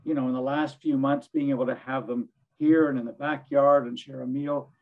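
Hoarse older man on a video call. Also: mid-range voice, steady tone, normal speed, narrow, tinny audio. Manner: earnest, rambling with restarts. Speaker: executive director, panelist. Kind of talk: webinar panel talk. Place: home study.

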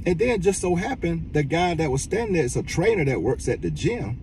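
A middle-aged man talks into a phone held at arm's length inside a car. His voice swings up and down a lot as he presses his points with blunt, profane exasperation. Car rumble and street noise sit clearly behind him, and the phone picks him up from a little way off. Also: sing-song, fairly quick, far from the mic. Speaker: reaction vlogger. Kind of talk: casual commentary rant. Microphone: phone camera at arm's length.

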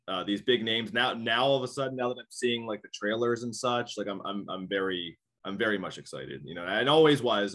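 A man in his thirties with a low voice, talking as a guest on a video call from a small room. He rambles and restarts often in a loose, casual flow. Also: fairly bright tone. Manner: rambling, with many restarts and fillers. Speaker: podcast guest. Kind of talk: casual interview chat.

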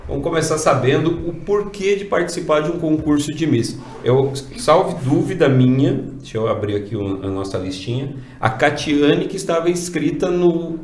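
A man's voice: a little high, expressive, normal speed, few pauses, darkish tone. A man in his forties with a Brazilian accent, chatty and upbeat, interviewing guests on a desk mic in a small studio. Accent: Brazilian Portuguese. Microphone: desk mic on stand. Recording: roomy office echo.

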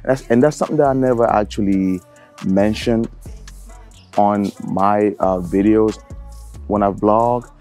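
A young man from Cameroon, talking casually to camera as a travel vlogger, relaxed and rambling with plenty of fillers. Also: lowish voice, measured pace, long pauses, soft background music. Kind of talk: casual vlog talk to camera.

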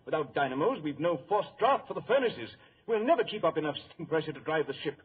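An actor playing an easily exasperated, worried male ship's captain in 1940s radio drama, emphatic and husky. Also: fairly high pitch, sing-song, quick pace, full character voice. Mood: alarmed. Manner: blustery, emphatic. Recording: tinny 1940s radio recording.

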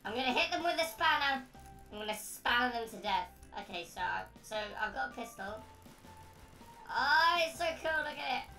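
A woman hosting a gaming video with excitable commentary and frequent exclamations. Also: high-pitched, moderate pace, over music. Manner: excitable, prone to shrieking exclamations. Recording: roomy echo, distant mic.

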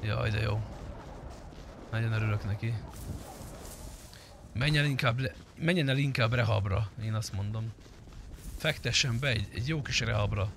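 Man streaming, with clear background noise. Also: fairly low pitch, pauses often, fairly bright tone. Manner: slangy, swearing, addressing chat and friends. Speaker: game streamer. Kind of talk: casual live gameplay commentary with roleplay.

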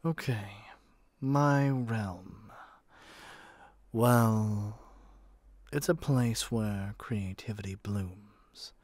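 A man's voice: fairly low pitch, extremely slow, long pauses, a little mellow, dry recording. A man playing a haughty, impatient god in an audio roleplay, with an imperious, theatrical way of talking. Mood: calm, soft. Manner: imperious, theatrical, addresses listener as mortal. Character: haughty, impatient male god of magic rooming with a human.

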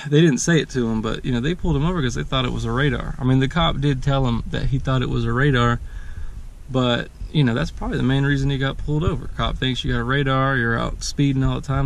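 An American man in his thirties chatting casually and laid-back, rambling as he explains things like a vlogger. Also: medium pitch. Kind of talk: casual chat, explaining a DIY install. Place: in and beside a parked pickup truck. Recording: clear vehicle and outdoor ambient noise.

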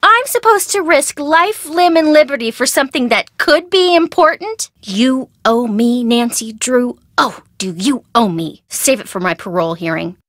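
A woman playing a perky, chatty and nervous young American sidekick in a video game, expressive and wry in a casual conversational way. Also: sits high, moderate pace, few pauses, dry recording, clean studio sound. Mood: reluctant, exasperated.

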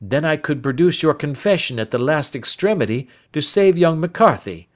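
A man voicing a composed, precise detective in an audiobook, speaking in a measured, gentle but firm way, pitched higher than his narration. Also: lively intonation, natural voice. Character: sharp, composed male detective, calm and precise. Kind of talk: audiobook character voice.

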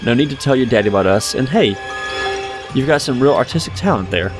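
An actor playing a fatherly man in a video game, speaking softly and reassuringly to a sick child, with a soft storytelling lilt. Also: medium pitch, animated, quick pace, natural voice. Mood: coaxing. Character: gentle, fatherly man soothing a sick boy. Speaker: game character, kindly stranger. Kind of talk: video game cutscene acting. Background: music.

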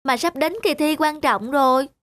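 Một người phụ nữ đóng bé gái múa ba lê giọng cao. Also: female voice, high voice, moderate pace, no echo, lightly acted. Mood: friendly, earnest. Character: kind, spirited little ballerina girl. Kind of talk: cartoon dubbing.

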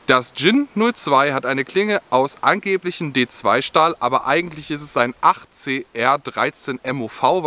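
A man's voice: medium pitch, pushed and bright. A young man hosting an outdoor gear vlog, filmed outdoors in a forest, explaining products in a friendly, explanatory way. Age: young adult. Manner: friendly, explanatory, with scripted-sounding product details. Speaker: outdoor gear channel host.

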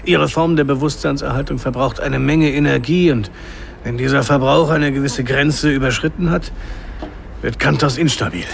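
A man's voice: mid-range voice, normal speed, gravelly, a little hiss or hum, close to an everyday voice. A man playing a stern father and leader of fugitives, explaining things with authority. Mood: grave. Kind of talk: audio drama acting.

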